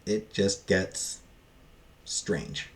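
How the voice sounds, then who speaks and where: A man giving a casual, unscripted book review in a halting, rambling, self-deprecating way. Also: sits low.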